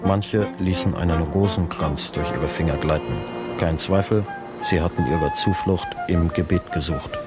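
Sober male chronicler, deep voice, deliberate, matter-of-fact, standard German, radio drama, prominent music. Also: wildly swooping pitch, exaggerated voice. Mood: sober, grave. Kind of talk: scripted radio play narration.

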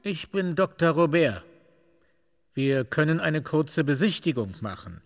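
A man's voice: a little high, very animated, measured pace, exaggerated voice. A man acting in a radio drama as a proud clinic doctor, formally explaining things to visitors. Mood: proud, instructive. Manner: formal, lecturing. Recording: long reverberant hall echo.

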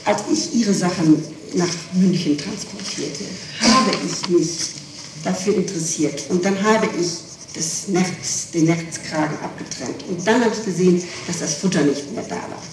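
A woman's voice: lowish voice, normal speed. A middle-aged woman answering questions in an interrogation, explaining insistently and repeating herself, on an old tape recording. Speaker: person being questioned by a prosecutor. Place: reverberant room, far from the microphone. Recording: echoey, distant, noisy archival tape recording.

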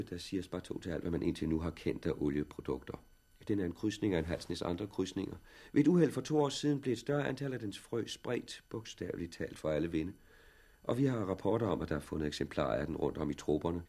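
Corporate research chief for vintage radio drama: middle-aged, authoritative, husky low voice, very lively intonation. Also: male voice, full character voice. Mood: assured, explaining. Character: brisk, authoritative corporate research director, keenly interested. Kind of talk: 1960s radio drama acting. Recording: 1960s radio drama recording.

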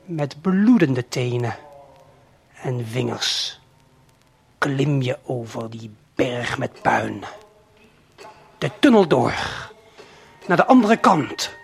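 A man narrating an audio play in the second person, deliberate and suspenseful, speaking straight to the listener. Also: medium pitch, sing-song, creaky. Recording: late 1970s vinyl LP production. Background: prominent music.

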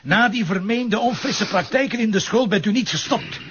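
A man's voice: high voice, moderate pace, dull audio, noisy background, lightly acted. A man with a Flemish accent playing a stubborn, sarcastic police commissioner in a crime drama, pushy and mockingly polite. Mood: taunting, relentless.

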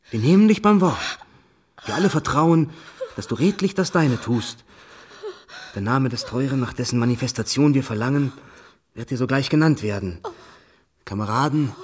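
A husky theatrical doctor running a seance, pompous and formal, radio play. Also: male voice, mid-range voice. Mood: commanding, rousing. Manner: solemn, formal, pompous oratory. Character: commanding, theatrical male doctor leading a séance.

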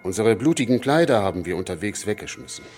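A man in a cult of killers recounts his crimes in a radio play. His voice is fairly low and moves a lot in pitch, cool and factual, with music clearly behind. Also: big pitch swings, fast, a little mellow. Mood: matter-of-fact. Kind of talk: first-person radio play monologue. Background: clear music bed.

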